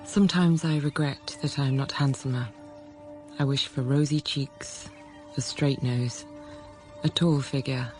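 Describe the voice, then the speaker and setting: An actress playing a restless, self-controlled young governess in a radio drama, speaking in a measured, introspective way. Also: female voice, very deep voice, steady tone, a little mellow. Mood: quietly observant. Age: young adult. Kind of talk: radio drama, first-person literary narration and dialogue. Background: background music.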